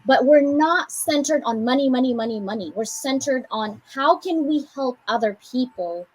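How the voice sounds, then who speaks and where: A woman from the Philippines with an American accent, co-hosting a casual chat and giving an animated motivational talk with joking asides. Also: high-pitched, normal speed, slightly rough, low-quality recording.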